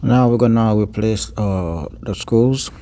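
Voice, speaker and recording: A man giving an unscripted step-by-step repair tutorial, pausing mid-sentence and repeating words as he goes. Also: lowish voice, warm tone.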